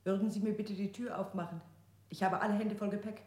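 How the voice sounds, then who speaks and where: An actress playing a polite, formal questioner in a radio play. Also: female voice, mid-range voice, fairly even intonation, fast, mellow, roomy sound, close to an everyday voice.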